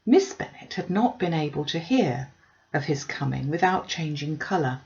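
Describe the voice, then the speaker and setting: A woman's voice: deep voice, lively intonation, normal speed, creaky, darkish tone, muffled recording. A woman reading a classic novel aloud as scripted audiobook narration. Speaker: audiobook reader.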